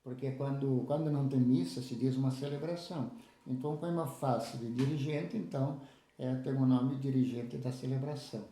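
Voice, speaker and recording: A man in his seventies, from southern Brazil, recalling his life in a halting way with many restarts. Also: medium pitch, steady tone, warm tone, reverberant. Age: 70s. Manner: halting, restarts with repeated words. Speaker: interviewee, lay church leader. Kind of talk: casual spoken recollection.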